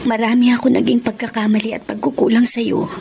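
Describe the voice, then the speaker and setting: An actress playing an ailing older sister who speaks softly and with measured, heartfelt regret in a radio drama. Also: female voice, a little high, little pitch movement, vocal fry, background noise. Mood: remorseful. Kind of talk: Tagalog radio drama acting. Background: drama music underscore.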